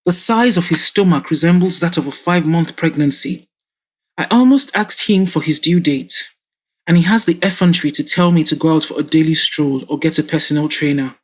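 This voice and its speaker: A woman acting out an irritable older father, stubborn, sarcastic, quick to anger. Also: sits low, a little mellow, slightly rough. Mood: grumbling.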